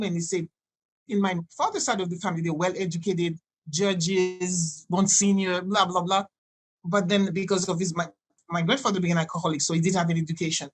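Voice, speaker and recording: A woman in her sixties from Haiti, a native French and Creole speaker with a Haitian accent, telling personal stories in a halting, digressive way as a podcast guest. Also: on the low side, slightly rough, poor audio. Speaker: podcast guest, doctor and healer. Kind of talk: conversational personal storytelling.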